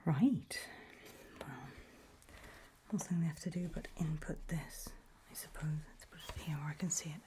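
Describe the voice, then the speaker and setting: A woman doing a whispered ASMR roleplay as a kindly, curious scientist, speaking gently and politely in a breathy whisper. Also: deep voice, normal speed. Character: kindly, curious research scientist interviewing an anomaly.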